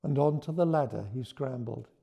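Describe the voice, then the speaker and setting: Creaky, mellow male storyteller. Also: mid-range voice, normal speed, dull audio. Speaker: author and storyteller. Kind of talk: expressive picture-book read-aloud and informal explanation.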